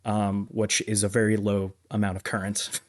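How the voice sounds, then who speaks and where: Lowish-voiced man explaining things casually. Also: completely flat delivery, normal speed. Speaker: podcast co-host. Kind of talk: casual, explanatory movie discussion.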